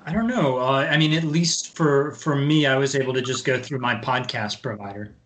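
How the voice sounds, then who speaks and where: A man chatting casually as a podcast guest in a hesitant, halting way, with faint noise behind him. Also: mid-range voice, a bit bright. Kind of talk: casual chat.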